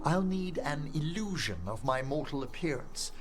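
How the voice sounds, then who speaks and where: An actor playing a courteous, genteel middle-aged necromancer in a fantasy video game, speaking formally and earnestly. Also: male voice, a little high, expressive, moderate pace, over music, close to an everyday voice.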